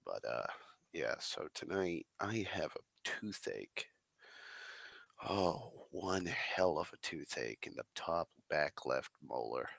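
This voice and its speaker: A very deep, gravelly male voice with a crisp, bright edge, rambling slowly and swearing freely. He sounds a little congested from the tail end of a flu, sighing between thoughts. The sound is close and dry on a desk microphone. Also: big pitch swings, no echo. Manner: swears a lot, drawling, slow and sighing. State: recovering from flu, slightly congested. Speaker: streamer. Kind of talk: rambling casual monologue.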